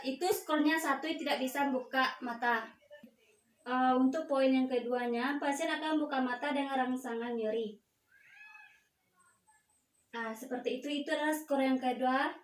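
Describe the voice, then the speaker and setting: A young woman gives a step-by-step instructional demonstration as a student, with halting, hesitant delivery. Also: sits high. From Indonesia. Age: young adult. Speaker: nursing student giving a practical demonstration. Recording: echoey room, distant mic, faint noise.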